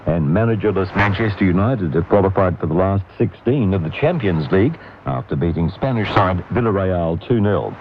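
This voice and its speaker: A man reads the radio news in a measured, formal way. His voice sits very low. It comes through a muffled shortwave signal buried in loud hiss. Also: lively intonation. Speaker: newsreader. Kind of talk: scripted radio news bulletin.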